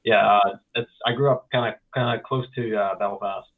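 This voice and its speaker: Flat-voiced Northern Irish man on a tinny line. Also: lowish voice, moderate pace, breathy edge. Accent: Northern Irish, softened by years in the US. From Northern Ireland. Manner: rambling, with many fillers and restarts. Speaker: podcast co-host, guest. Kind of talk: casual interview talk.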